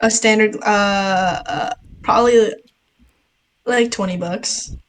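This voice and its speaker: A woman's voice: medium pitch, steady tone, slow pace. A young woman co-hosting a student podcast, chatting casually and rambling with lots of fillers and giggles. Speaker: podcast co-host. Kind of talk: casual chat.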